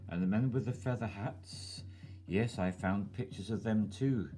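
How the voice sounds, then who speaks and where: A man's voice: fairly low pitch, unhurried, dark tone. A man hosting his channel, reading a listener's email aloud over music. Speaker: channel host.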